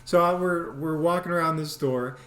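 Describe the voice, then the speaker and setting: A man hosts a casual storytime in a roomy, echoing space. He rambles along with animated, comedic energy, like someone recounting an everyday mishap to friends. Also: fairly high pitch, normal speed. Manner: animated, rambling, mimics people in exaggerated voices. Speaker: storytelling vlog host. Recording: roomy, echoey sound.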